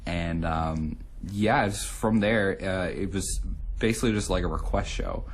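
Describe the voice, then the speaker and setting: A man's voice: low-pitched, measured pace, a little hiss or hum. A young man, a radio show co-host, chatting casually in an interview in a studio, rambling with lots of fillers. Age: young adult.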